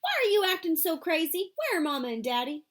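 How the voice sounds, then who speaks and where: A woman's voice: a little high, very animated, fairly quick, slight background noise. A woman voicing a scared, bossy little girl in a storybook reading. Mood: alarmed.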